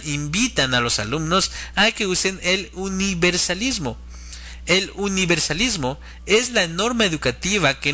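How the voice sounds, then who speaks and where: Man preaching on a devotional show, pretty bright forceful voice, a bit high, teacherly. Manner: didactic, addresses listeners as friends and brothers. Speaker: religious radio host and preacher. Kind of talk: devotional teaching talk and prayer.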